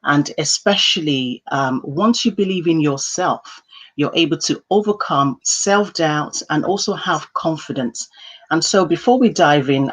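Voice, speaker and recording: A woman hosting a live interview podcast over a video call, talking in an upbeat way with many fillers. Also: sits low. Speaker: podcast host. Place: indoor room.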